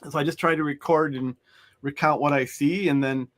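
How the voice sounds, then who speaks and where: A man's voice: on the high side, steady tone, brisk, fairly bright tone. A middle-aged man, an author, talking in a loose, rambling way with many restarts as an interview guest. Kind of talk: conversational interview.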